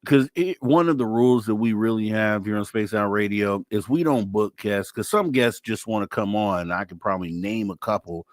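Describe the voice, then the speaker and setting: Low-voiced man hosting a talk show. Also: normal speed, hardly any pauses, no room sound, clean studio sound. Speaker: radio show host. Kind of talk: casual live talk show interviewing.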